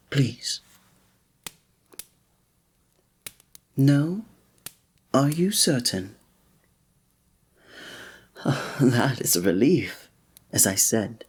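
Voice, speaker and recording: A man's voice: mid-range voice, lively intonation, slow pace, long pauses, darkish tone, husky. A man playing a flirtatious, courteous innkeeper in a fantasy village, speaking formally in a scripted ASMR roleplay addressed to the listener. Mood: teasing, earnest. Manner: formal, old-fashioned, teasing, suave diction.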